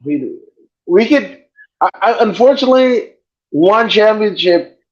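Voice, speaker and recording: A man's voice: high voice, lively intonation, unhurried. A man hosting a podcast in casual chat, profane and rambling, joking as he goes. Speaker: podcast host. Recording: dull lo-fi sound.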